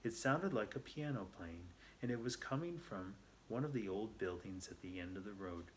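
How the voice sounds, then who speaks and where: A man's voice: on the low side, animated, moderate pace, slight background noise, rough recording. A man reading a children's story aloud in an earnest, unpolished way, stumbling now and then. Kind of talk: read-aloud narration.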